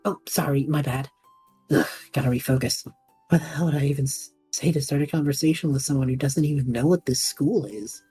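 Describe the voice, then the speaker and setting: Woman voicing a husky, rambling young male student. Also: a bass voice, brisk, dark tone, faint music behind, full character voice. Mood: flustered rambling. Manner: rambling, self-interrupting, flustered. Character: anxious, sarcastic, rambling young male magic academy student. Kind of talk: audio drama acting, monologue and banter.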